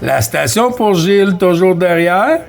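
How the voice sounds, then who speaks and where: A man's voice: high voice, animated, measured pace, vocal fry. A man with a Quebec French accent chatting casually as a CB radio operator, making contacts over the air. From Quebec, Canada. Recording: noisy radio static, clicks.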